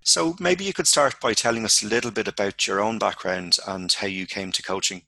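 A man interviewing a guest on a podcast with a gravelly, bright and forceful voice. He keeps his questions short and friendly. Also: lowish voice, a little fast. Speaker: podcast host.

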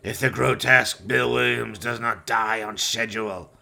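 A man's voice: medium pitch, steady tone, vocal fry, pushed and bright, exaggerated voice. A man voicing a menacing, contemptuous evil master in a comic horror radio play, with haughty, pompous delivery. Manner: slow, haughty, pompous threats.

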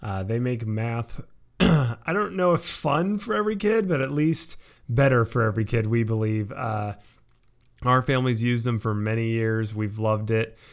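A man with a medium-pitched voice and a low-key delivery. He talks as a podcast co-host would, informal and laid-back, as if speaking off the cuff. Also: expressive, moderate pace. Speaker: podcast co-host reading sponsor message. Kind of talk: casual, unscripted-sounding host talk.

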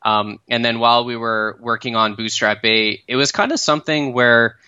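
A Canadian man in his thirties tells his founder story as a podcast guest, with a bright, smooth, rather flat-pitched voice. He talks in a loose, casual way full of fillers and restarts. The sound is dull and lo-fi, as if from a remote call. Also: normal speed, no echo. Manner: relaxed, lots of fillers and self-restarts.

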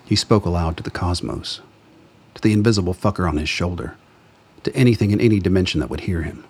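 Deep-voiced male drama narrator. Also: fast talker, breathy edge. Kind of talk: scripted dramatic narration of a radio play.

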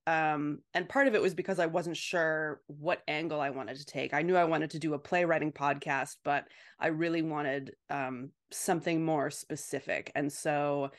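A woman answering as a podcast guest in casual, reflective chat, halting and then quickening. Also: low voice, steady tone.

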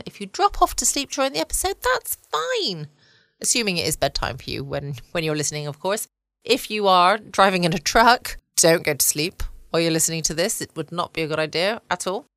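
Low-voiced English woman, dry close sound. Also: fairly bright tone, no room sound. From United Kingdom. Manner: chatty, cosy, informal. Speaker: podcast host. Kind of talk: relaxed bedtime podcast chat. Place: at home, indoors.

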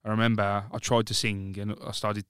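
A man's voice: fairly low pitch, flat delivery, brisk, a bit bright, clear, resonant tone, no room sound, studio quality. A man chatting candidly as a podcast guest. He talks about his singing in a rambling way, with lots of restarts. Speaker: podcast guest, lead singer of a band. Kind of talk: casual chat.

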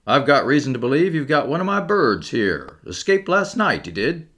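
Big burly keeper for audiobook, male narrator acting, callous good cheer, working-class English, bouncy pitch. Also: sing-song, normal speed, a bit bright. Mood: cheerful. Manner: blunt, colloquial.

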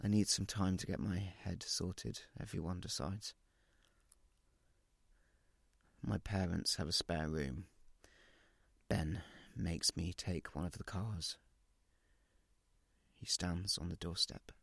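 A man's voice: sits low, fairly even intonation, takes it slowly, gravelly, a bit bright, slightly rough, no room sound, clean studio sound, full character voice. A man reading a dramatic first-person story as a guilt-ridden, unravelling, well-off narrator, confessional and intense in short clipped phrases. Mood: numb, defeated.

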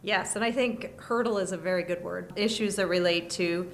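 Measured woman explaining law. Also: on the low side, a little hiss or hum. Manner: measured, explains by listing points. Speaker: lawyer guest. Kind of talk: interview answers. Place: studio table.

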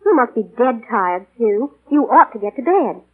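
An actress in a 1940s radio commercial playing an eager, fussing, kindly hostess. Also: female voice, a little high, expressive, moderate pace, breathy edge. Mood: solicitous. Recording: muffled, tinny 1940 radio broadcast.

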